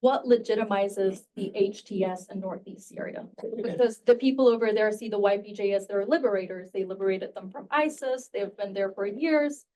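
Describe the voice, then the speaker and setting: A woman moderating a panel discussion who asks several questions in quick succession. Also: medium pitch, mellow, low-quality recording.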